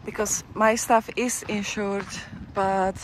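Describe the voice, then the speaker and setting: A woman's voice: mid-range voice, little pitch movement, slow pace, slight background noise, low-quality recording. Chatty woman vlogging on a walk. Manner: chatty, rambling, with restarts and little laughs. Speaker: travel vlogger. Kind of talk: casual walking-tour vlog commentary. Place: outdoors on town streets. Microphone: handheld camera while walking.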